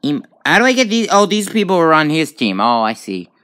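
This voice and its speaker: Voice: medium pitch, big pitch swings, brisk, a bit bright. A gamer giving casual let's play commentary on a tablet game, reacting aloud with frequent exclamations. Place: indoors at a tablet.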